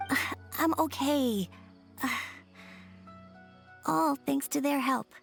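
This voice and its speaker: A woman playing a small, quiet, matter-of-fact young boy in a video game. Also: high-pitched, slow, vocal fry, exaggerated voice. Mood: sheepish. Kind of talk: video game character acting. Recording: music behind the voice.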